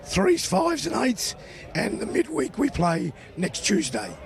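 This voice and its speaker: A hoarse man, the club president, speaking in short exuberant bursts. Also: sits high, huge pitch swings, slow. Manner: exuberant, clipped phrases.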